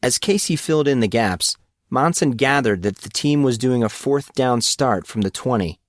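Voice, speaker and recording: A male narrator reads a scripted story at a medium pitch. His voice is recorded very dry, with little trace of room. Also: expressive, normal speed, no echo.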